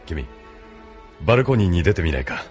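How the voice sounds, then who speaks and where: 男性声優がアニメのオーディオドラマで、落ち着いて威厳のある中年の軍大佐を演じている。. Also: male voice, deep voice, very animated, a little mellow, faint music behind. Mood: calm, courteous. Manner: measured, authoritative. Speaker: voice actor. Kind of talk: anime audio drama acting.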